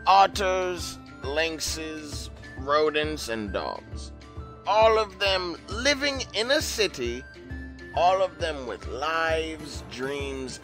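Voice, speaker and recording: Slow dramatic male narrator. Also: a little high. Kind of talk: scripted storytelling narration. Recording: music bed underneath.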